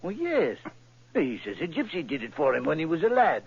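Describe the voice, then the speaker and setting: An actor playing a plain-spoken, earnest middle-aged shopkeeper in an old radio drama, with homely, rustic turns of phrase. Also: male voice, a little high, wildly swooping pitch, brisk, vocal fry, breathy edge, exaggerated voice. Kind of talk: 1940s radio acting. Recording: muffled dry old radio recording.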